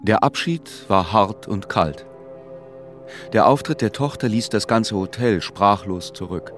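A sombre radio-play narrator with a low voice over clearly present music. He tells the story in measured literary prose. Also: fairly bright tone. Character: sombre storyteller narrating a town's strange decline.

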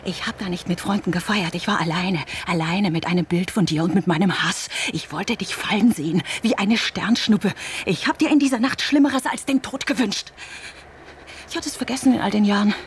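A woman's voice: medium pitch, a little fast, a little hiss or hum, lightly acted. An actress in a German radio drama playing a cunning, vengeful woman who talks in a taunting, intimate, theatrical way. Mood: scheming, bitter. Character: vengeful cunning woman restorer, playful and obsessive.

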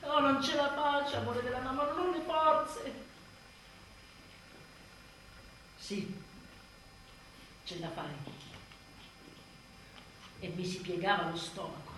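In a roomy, echoing space, a worn-out young mother in a radio play monologue. Her voice is high and swings dramatically in pitch. She is sardonic, desperate and volatile, heard a little off the mic. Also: expressive, measured pace, long pauses, a bit bright. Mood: weary despair. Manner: volatile, sardonic, swearing, swinging from outbursts to murmurs. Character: exhausted, embittered young mother confessing, sarcastic and desperate. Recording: roomy echoing stage, distant mic, faint noise.

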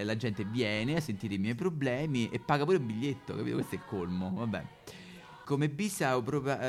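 Low-pitched Roman man, music behind. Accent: Roman Italian. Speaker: comic stage actor. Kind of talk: casual, self-mocking comic talk.

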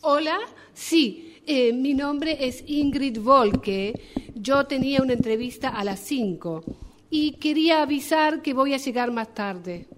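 A woman's voice: high-pitched, slow pace, background noise. A woman playing a polite, anxious job applicant apologising by phone in a radio drama. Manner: hesitant, apologetic. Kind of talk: radio drama acting, one side of a phone call.

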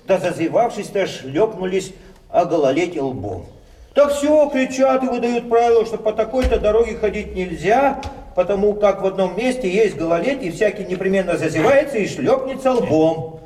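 A brash younger gentleman in a stage comedy. He rants and scoffs in a high, lively, mellow voice. The sound is roomy and distant. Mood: dismissive. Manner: opinionated, sarcastic, ranting. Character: brash, argumentative younger man mocking old folk. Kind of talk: theatrical stage comedy acting. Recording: roomy, distant mic, faint noise.

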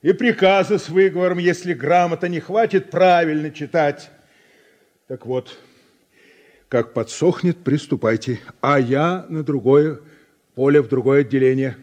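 An actor playing a curt, condescending collective-farm director in a 1980s radio drama. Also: male voice, on the high side, a little slow, a little mellow, lo-fi sound, close to an everyday voice. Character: bossy, dismissive middle-aged farm director.

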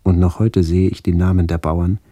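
An older narrator looking back on his village childhood in a radio play. His voice is deep, dark and husky, with a gravelly edge. He speaks in a measured, reflective way, recorded close and dry. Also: level tone, dry recording. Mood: somber, remembering. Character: older man recalling his village childhood during the expulsion. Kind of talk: literary first-person radio play narration.

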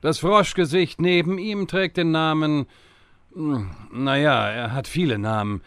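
An actor playing a bumbling, impatient time-travelling wizard who stammers and rambles in a comedic audio drama. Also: male voice, on the high side, unhurried, fairly bright tone, clean tone, no room sound. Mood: brisk, mocking.

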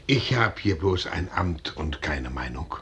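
An actor playing a blunt, reserved colonel sent as envoy in a 1950s radio play, with formal, deliberate stage diction. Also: male voice, sits low, lively intonation, a bit bright, slightly rough, full character voice.

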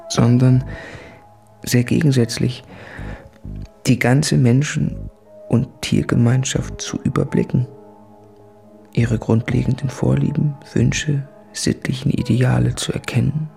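An actor playing a tormented, introspective man who confesses in a halting, hushed, husky and creaky voice in a literary radio play. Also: mid-range voice, steady tone, soft background music. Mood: brooding, deliberate.

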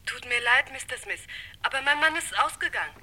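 An actress playing a polite, unsuspecting wife, heard through a telephone in a German radio crime drama. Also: female voice, on the high side, expressive, a little fast, bright, forceful tone. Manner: courteous, obliging. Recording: thin, noisy distant telephone line.

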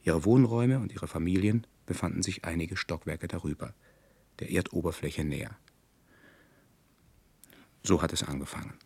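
An actor playing a solemn, detached chronicler narrating a science fiction radio play in a measured, formal, deliberate manner. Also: male voice, deep voice, vocal fry, darkish tone, husky, heavily acted. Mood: factual, measured.

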